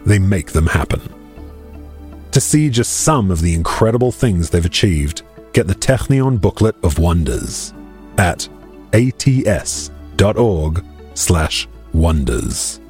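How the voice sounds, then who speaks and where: A man's voice: sits low, sing-song, slow, a little mellow, soft background music. A man reading a radio advertisement with an inspiring, emphatic delivery. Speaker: ad announcer. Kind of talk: scripted advertisement.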